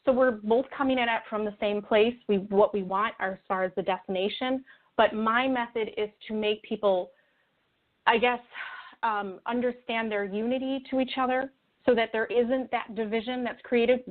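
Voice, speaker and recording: Woman, thin phone-like audio, earnest interview guest, conversational, reflective, halting delivery, rephrases as she goes. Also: on the high side, steady tone. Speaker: interview guest, spiritual video creator. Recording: tinny phone-like call audio.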